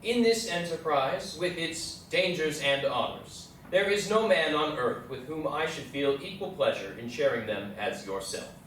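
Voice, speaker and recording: A man's voice: a little high, lively intonation, unhurried, hardly any pauses, fairly bright tone. A man playing Meriwether Lewis, a studious young army captain, formally reading letters and journals in a stage reading. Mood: formal, earnest. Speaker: local stage actor. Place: live readers theatre performance in an echoey indoor space. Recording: very echoey room, distant mic, faint noise.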